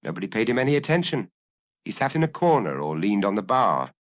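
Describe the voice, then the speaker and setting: Guy reading young waitress in a detective audiobook, guarded, plain short answers, pitch moves around a lot. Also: big pitch swings, brisk, no room sound, studio quality. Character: young working-class Parisian waitress, plain-spoken and guarded.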